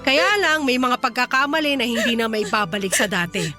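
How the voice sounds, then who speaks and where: A woman's voice: fairly high pitch, a little fast, sharp tone, soft background music. A woman playing a wealthy, betrayed employer in a radio drama, stern, bitter and reproachful toward someone distrusted. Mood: cold reproach. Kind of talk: radio drama acting in Tagalog.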